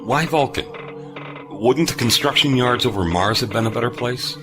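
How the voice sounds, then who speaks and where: A man playing a gruff, skeptical veteran starship officer in a sci-fi audio drama, with a dry, probing manner. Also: medium pitch, moderate pace, music in the background, close to an everyday voice. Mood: curious, wary.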